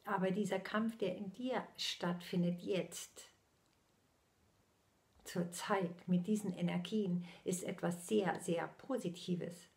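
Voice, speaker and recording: Older lady in her 60s channeling a message, super slow and solemn, gravelly voice, half whispering into her laptop. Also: on the low side, steady tone. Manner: slow, solemn, eyes closed, drifting into soft whispers. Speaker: spiritual medium. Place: small indoor room at home.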